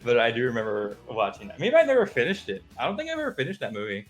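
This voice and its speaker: A man's voice: mid-range voice, wildly swooping pitch, very fast. A man co-hosting a casual chat in a laid-back, slightly hesitant way. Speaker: co-host. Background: faint music.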